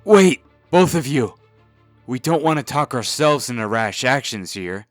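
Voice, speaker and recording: A man's voice: medium pitch, very animated, measured pace, dry recording, soft background music. A man playing a cowardly, glib young rascal in a comic dub, pleading dramatically. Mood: nervous pleading.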